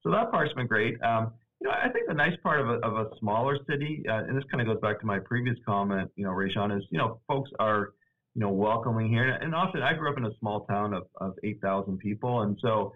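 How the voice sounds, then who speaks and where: A middle-aged man from Nova Scotia with a Canadian accent, a university provost chatting as a podcast guest, halting with many fillers and restarts. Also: lowish voice. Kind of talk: casual podcast interview. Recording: tinny phone-like line.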